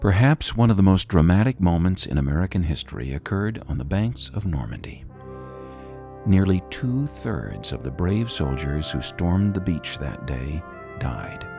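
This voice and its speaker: A man reading solemn scripted radio narration in a measured, dramatic manner. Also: deep voice, sing-song, creaky, dark tone, faint music behind.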